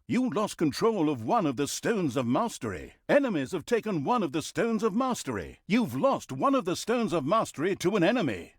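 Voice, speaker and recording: Male mock-grand storyteller for a dark fantasy game; wry, pompous, hugely animated delivery, dry studio sound. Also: on the high side, slightly rough, no room sound. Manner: wry, mock-grand, breaks into flustered asides. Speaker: video game narrator. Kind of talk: theatrical storybook narration and game announcements.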